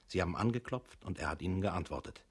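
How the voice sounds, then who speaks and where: An actor playing an impersonal, matter-of-fact narrator who dictates steps in a radio play. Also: male voice, deep voice, expressive, fast, slightly rough, full character voice.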